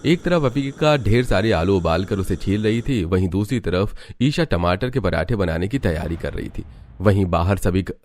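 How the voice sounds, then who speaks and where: Low-voiced man narrating a story. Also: animated, moderate pace, darkish tone, a little hiss or hum.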